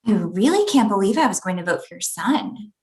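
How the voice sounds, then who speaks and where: A woman's voice: medium pitch, sing-song, brisk, darkish tone, close to an everyday voice. Woman playing prim, disapproving churchgoing lady. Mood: judgmental. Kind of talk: comedy script table read.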